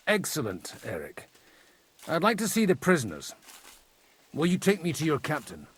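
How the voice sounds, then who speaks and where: A wry, worldly warrior priest. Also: male voice, on the high side, huge pitch swings, slightly rough, full character voice. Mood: wry, self-assured. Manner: measured, dryly witty, quotes Latin. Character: worldly, wry, sword-wielding medieval parish priest. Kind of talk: video game cutscene acting.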